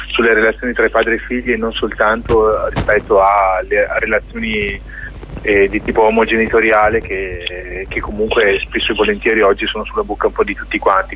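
A man's voice: lowish voice, a bit bright, breathy edge, rough recording. A man being interviewed on radio as a theatre director, reflective and hesitant as he searches for words while explaining his work.

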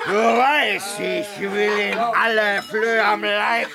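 An actor playing a rowdy, menacing drunken journeyman with a gravelly voice in a radio drama. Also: sits high, little pitch movement, takes it slowly, a crowd in the background, full character voice. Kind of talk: German radio drama acting. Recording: noisy, crowded tavern scene with music.

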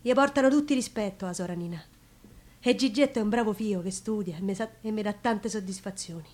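A woman's voice: mid-range voice, close to an everyday voice. An actress playing a passionate, plain-spoken working-class woman with a Roman accent, recalling her love in an intimate theatrical monologue. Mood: hushed grief. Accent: Roman Italian. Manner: intimate, emotional storytelling with expressive pauses. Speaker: stage actress.

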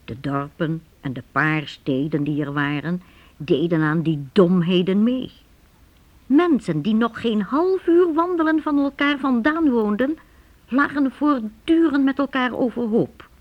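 A woman's voice: fairly high pitch, measured pace. A woman narrating a medieval radio drama as a wry storyteller, explaining things to the listener. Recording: dull old radio recording.

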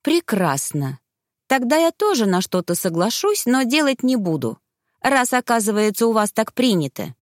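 A woman voicing a playful young wife in an audiobook, coy and theatrical, quick to take offense. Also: on the high side, sing-song, dry recording, clean studio sound, voice pushed up. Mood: indignant. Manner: theatrical, coy, sharp when crossed.

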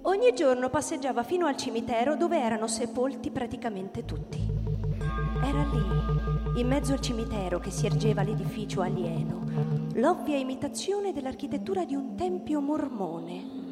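A woman narrator reading a literary story in measured, storytelling phrases for a radio drama. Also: high-pitched, lively intonation. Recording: reverberant hall, loud music behind. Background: loud music.

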